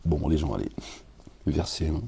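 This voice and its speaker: A man who talks casually with wry, grumbling asides to his listeners, then reads scripture slowly and solemnly. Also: rumbling low, vocal fry, mellow, husky. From France. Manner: slow, measured recitation with Arabic invocations. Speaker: preacher reading scripture.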